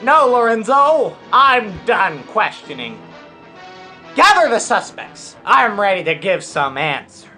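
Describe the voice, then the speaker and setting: A woman playing a blunt, wry detective in a scripted radio parody, with a deadpan, theatrical delivery. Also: mid-range voice, slow, faint music behind, exaggerated voice. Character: blunt, wry detective narrating a murder investigation.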